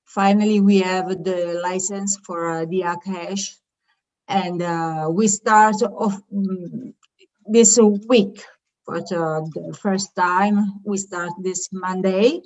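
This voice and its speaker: A middle-aged woman gives an informal business presentation in English, haltingly searching for words. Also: fairly low pitch, fairly even intonation, darkish tone, dull audio. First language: not English. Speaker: childcare founder presenting her business.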